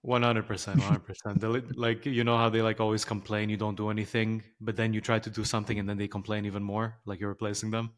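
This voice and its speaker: This is a young man in his twenties from Lebanon and Dubai, talking as a podcast guest. His voice sits low and stays fairly monotone. He muses in a relaxed way and leans on filler words as he thinks. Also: quick pace, few pauses. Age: 20s. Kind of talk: casual conversation.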